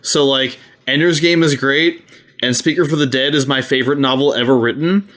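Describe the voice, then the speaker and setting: A man's voice: medium pitch, fairly even intonation, fairly quick, a bit bright. A man co-hosting a podcast in casual chat, blunt and opinionated, swearing freely. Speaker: podcast co-host.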